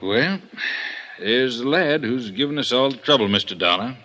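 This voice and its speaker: A man's voice: medium pitch, animated, moderate pace, heavy background noise, natural voice. An actor playing a blunt, sardonic male police lieutenant in a 1950s radio drama.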